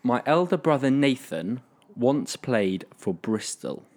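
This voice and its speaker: A man's voice: mid-range voice, big pitch swings, slow pace, darkish tone. A man hosting a casual podcast quiz, reading out clues with lots of ums and frequent laughter. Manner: laughs often, hesitant fillers. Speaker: podcast host running a quiz.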